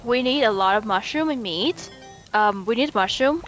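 A woman's voice: a little high, lively intonation, moderate pace, faint music behind. A woman streamer calling out orders to her team during a frantic co-op cooking game, laughing often. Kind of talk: frantic co-op gaming chat.

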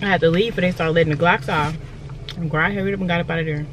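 A woman's voice: fairly low pitch, steady tone, racing. A young woman vlogging in casual chat to her viewers, blunt and fired up, swearing freely as she talks from her car. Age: young adult. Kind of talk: casual vlog chat to camera. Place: inside a car. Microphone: phone camera on dashboard. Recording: clear car road noise. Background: car and road noise.

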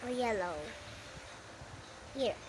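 A young woman talks her way through a game slowly and hesitantly, with frequent pauses and fillers. Her voice sits a little high. Loud background noise runs under her words. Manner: soft, hesitant, slow chatter with fillers. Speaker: gamer. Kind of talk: casual gameplay commentary.

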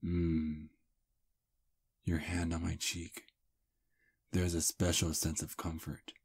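Ancient vampire prince, played by a man: courtly seducer, breathy husky voice, slow intimate ASMR delivery. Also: deep voice, monotone, heavily acted. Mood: tender, wistful. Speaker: ASMR roleplay performer. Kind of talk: intimate ASMR roleplay acting.

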